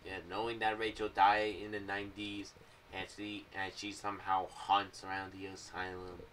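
A man's voice: deep voice, monotone, moderate pace, a bit bright. A young adult man giving casual, rambling commentary as he shares theories on a series. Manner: rambling, loosely phrased. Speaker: YouTuber reviewing an audio drama series. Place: small home room. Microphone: condenser mic on boom arm with pop filter, slightly off to the side. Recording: slightly distant mic, faint background noise.

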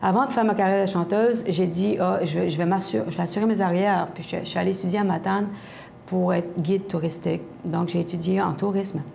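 A middle-aged woman from Havre-Saint-Pierre with an Acadian accent, answering a TV interviewer in a studio on a lapel mic, lively and talking with her hands, with many fillers and restarts. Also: on the low side, a little fast, creaky, slight background noise. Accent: Acadian French. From Havre-Saint-Pierre, Quebec. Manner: expressive, gestures a lot, many restarts and fillers. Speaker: singer-songwriter guest. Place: TV studio set.

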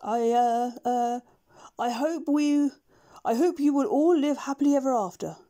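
A kindly, absent-minded older doctor, put on by a woman reading aloud, speaks in a soft, mild voice that sits a little high. He hesitates and mumbles, gentle rather than commanding. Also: a little slow. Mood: hesitant.

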